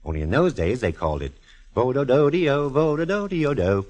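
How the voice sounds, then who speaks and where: An actor playing a fussy, effusive, motherly gentleman in a 1940s radio drama, gushing with genteel theatrical endearments. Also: medium pitch, big pitch swings, normal speed, lightly acted. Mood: chatty, whimsical. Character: fussy, effusive, motherly male photographer and old pal.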